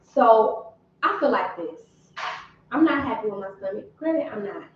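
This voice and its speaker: A woman's voice: fairly high pitch, animated, a little slow, a little hiss or hum. A woman in her late thirties co-hosting a podcast, talking candidly in casual chat and laughing easily. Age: 30s. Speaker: podcast co-host. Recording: echoey room, distant mic, dull sound.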